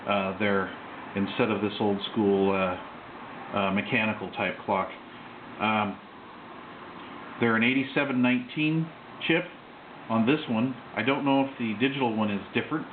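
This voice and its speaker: Guy with a lowish voice rambling through a gear walkthrough, lots of halting, phone-like sound with clear noise. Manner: halting, hesitant pauses, offhand asides. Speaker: CB radio hobbyist presenting his own base station. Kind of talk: casual unscripted product walkthrough. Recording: muffled phone-like sound, loud background noise.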